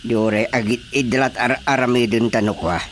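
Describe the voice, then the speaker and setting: A man's voice: mid-range voice, fairly even intonation, normal speed, a little hiss or hum, lightly acted. An actor playing a grumbling, bossy older male village chairman in a radio comedy drama. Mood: grumbling, dismissive.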